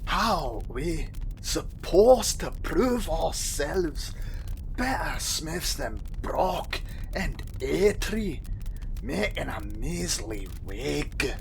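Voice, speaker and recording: A man's voice: fairly high pitch, big pitch swings, drawn-out words, slight background noise, exaggerated voice. A man playing a proud dwarf master smith, hearty and confident, in an audio drama. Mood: disgruntled. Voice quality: gravelly.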